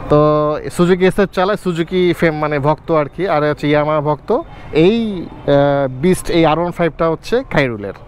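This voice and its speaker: A man's voice: on the high side, brisk. A man with a Bangladeshi accent casually presenting a vlog and interviewing as its host. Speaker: motovlog host. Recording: loud outdoor street and traffic noise. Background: urban ambience with passing vehicles.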